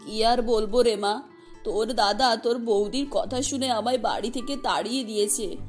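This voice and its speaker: A woman's voice: a little high, moderate pace, a little mellow, faint music behind, pitched below the performer's own voice, natural voice. A woman voicing an aggrieved, weepy elderly mother in a cartoon story. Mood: weepy complaint.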